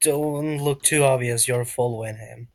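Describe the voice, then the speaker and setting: A man's voice: mid-range voice, fairly even intonation, unhurried, a little mellow. A man acting as game master, casually narrating and making rulings in a tabletop game.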